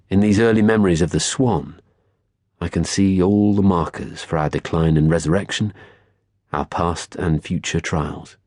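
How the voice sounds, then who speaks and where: Deep, husky male audiobook narrator. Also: a little mellow, no echo, studio quality. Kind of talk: scripted first-person literary narration.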